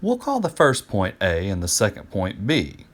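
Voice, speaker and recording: An eager, patient ancient Greek scholar played by a man reading a storybook. His voice is on the low side and swings widely in pitch as he explains things with emphasis. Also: huge pitch swings, normal speed. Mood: eager explaining. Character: Euclid, patient, enthusiastic ancient Greek man teaching friends.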